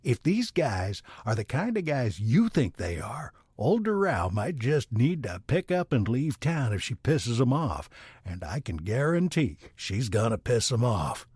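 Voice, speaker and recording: Audio comedy role, male actor: small-town shopkeeper, harried straight man with dry sarcasm, animated delivery, close studio sound. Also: medium pitch, measured pace, husky, no room sound. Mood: sly, impatient. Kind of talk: audio comedy drama dialogue.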